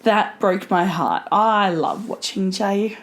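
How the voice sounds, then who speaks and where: A woman's voice: medium pitch, takes it slowly. A woman co-hosting a podcast, chatting casually and tossing in playful jokes. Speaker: podcast co-host. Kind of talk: casual chat.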